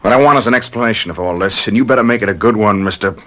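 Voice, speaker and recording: Low-voiced, shaken spy courier played by an actor. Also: male voice, racing, fairly bright tone, natural voice. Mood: angry, demanding. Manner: blunt, earnest. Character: shaken male spy courier, indignant yet devoted husband. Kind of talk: radio drama acting.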